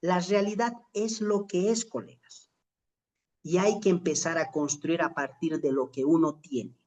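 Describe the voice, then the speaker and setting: A middle-aged Bolivian lecturer speaks at a medium pitch in a reflective, motivational style. The delivery is emphatic and rhetorical, with questions put to fellow teachers and key phrases drawn out for weight. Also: a little mellow. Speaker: lecturer in an online teacher-training course.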